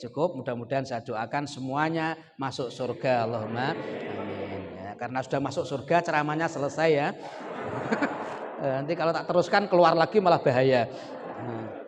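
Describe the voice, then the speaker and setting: A man's voice: mid-range voice, moderate pace, long pauses. A man with a Javanese accent preaching a sermon, repeating key words for emphasis and joking with the audience. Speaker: Islamic preacher. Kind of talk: sermon with comic story. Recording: echoey hall, faint noise.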